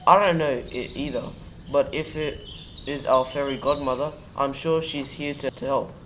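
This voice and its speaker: Helpful young hero, acted by a male performer, middle pitch, school radio play, faint birdsong and noise. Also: steady tone, moderate pace, a bit bright, lightly acted, rough recording. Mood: excited. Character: Jack, keen helpful boy hero in storybook.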